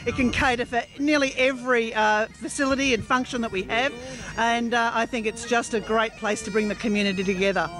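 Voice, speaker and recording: High-voiced Australian woman, informal interviewee. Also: steady tone, a little fast, over music, poor audio. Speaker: mayor, interview guest. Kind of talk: casual on-location interview. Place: outdoors at a community fundraising event.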